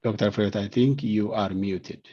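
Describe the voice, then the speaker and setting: A man moderating an online question session, speaking haltingly and repeating words as he searches for phrasing. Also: on the low side, steady tone, warm tone, slightly rough. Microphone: video call. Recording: dull, lo-fi video call audio.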